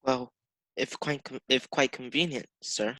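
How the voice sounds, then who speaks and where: A man's voice: mid-range voice, a little slow, bright, forceful tone. A man playing a meek, poor office clerk in a radio play, polite, hesitant and deferential. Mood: timid, pleading.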